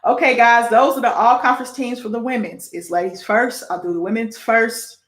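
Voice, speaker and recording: A woman's voice: a little high, fairly even intonation, moderate pace, a bit bright, roomy sound. A woman with an American Midwest accent hosting a sports show casually, chatty and self-deprecating as she reads through lists and sounds out names.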